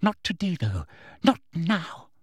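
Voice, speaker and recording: A man's voice: on the high side, big pitch swings, slow, husky, dry recording, clean studio sound, pitched above the performer's own voice, full character voice. A man voicing a cruel, prim middle-aged witch official with a cold, menacing manner.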